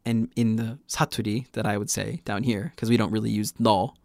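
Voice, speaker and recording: A man hosting a casual podcast, talking in a relaxed, chatty way and laughing often. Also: fairly low pitch, fast talker, gravelly, dry recording, studio quality. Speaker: podcast host.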